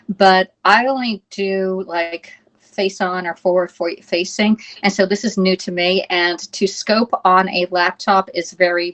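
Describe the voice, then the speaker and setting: A woman's voice: on the low side, fairly bright tone. Woman host of a home cooking livestream: casual, chatty, reads the chat aloud, a bit scattered with the tech. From United States. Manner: chatty, reads viewer comments aloud, fumbles with the app. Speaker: live-stream cooking host. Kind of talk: casual live-stream chat.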